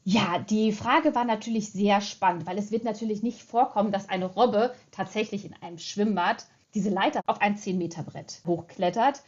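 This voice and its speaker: A woman's voice: medium pitch, normal speed, few pauses, a little mellow. A woman explaining as an animal expert in a spontaneous interview answer. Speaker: seal expert. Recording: slightly dull, lo-fi recording.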